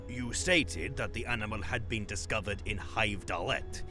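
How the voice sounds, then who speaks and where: A man's voice: fairly low pitch, moderate pace, music in the background, natural voice. A man playing a stern, pedantic supervisor in a dystopian audio drama, formal and exacting in manner. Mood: coldly formal.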